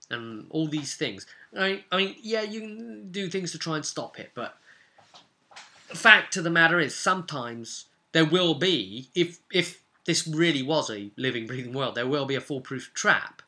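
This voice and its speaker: Bright, forceful man explaining game sessions. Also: fairly high pitch, a little slow. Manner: animated, emphatic explaining with repetition and rhetorical asides. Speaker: game master and video host. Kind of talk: casual, rambling recap of a tabletop roleplaying session.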